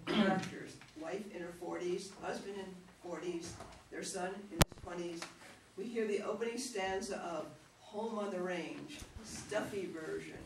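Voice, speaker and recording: A narrator reads scripted play introductions and stage directions. The voice sits away from the mic in an echoey room, with clear noise behind it. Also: mid-range voice, fairly even intonation, a little slow, distant mic.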